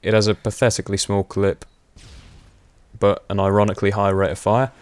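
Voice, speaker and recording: Chatty young man with a low voice. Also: level tone, fairly quick, clean tone, dry recording. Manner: rambling, chatty, laughs often, many fillers. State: voice going hoarse. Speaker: gaming commentator. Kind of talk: casual gameplay commentary.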